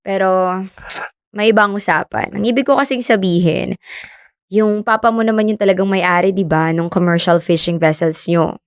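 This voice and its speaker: Woman as young scientist, expressive, earnest, friendly, mid-pitched, radio drama, dry sound. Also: normal speed, smooth, dry recording, natural voice. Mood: curious. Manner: expressive, friendly teasing, earnest when explaining. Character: bubbly, earnest young female marine scientist. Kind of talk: conversational radio drama acting.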